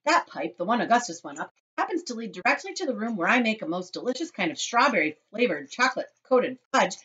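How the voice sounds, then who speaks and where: A woman's voice: mid-range voice, very animated, fast, a bit bright, muffled recording, voice pushed up. A woman voicing an eccentric, gleeful male chocolate-factory owner in a storybook, breezy, emphatic and dismissive. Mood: emphatic dismissal.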